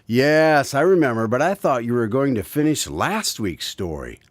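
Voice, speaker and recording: An actor playing a friendly, attentive listener who prompts a storyteller in a radio drama. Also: male voice, medium pitch, very animated, moderate pace, no room sound, natural voice. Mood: amused prompting.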